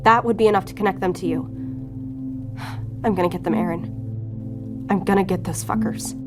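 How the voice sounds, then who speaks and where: A woman's voice: mid-range voice, fairly quick, over music, close to an everyday voice. A woman playing a determined, guilt-ridden federal investigator in an audio drama, earnest and resolute in manner. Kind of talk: audio fiction acting.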